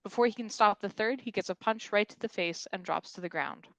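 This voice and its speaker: A woman's voice: medium pitch, a little fast, a bit bright, no room sound, poor audio. A woman narrating action and stage directions from a script at a virtual table read.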